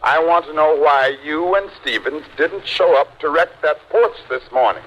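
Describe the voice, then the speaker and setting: An actor playing a stern, exasperated client in old-time radio comedy, curt and sarcastic. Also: male voice, fairly high pitch, moderate pace, noisy background, close to an everyday voice. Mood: brisk, irritated. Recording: muffled vintage radio comedy recording.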